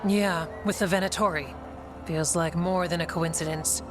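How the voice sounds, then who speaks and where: A woman's voice: lowish voice, steady tone, moderate pace, music in the background, lightly acted. A woman voicing a loyal, plain-spoken warden fighter in a fantasy video game. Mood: steady, proud.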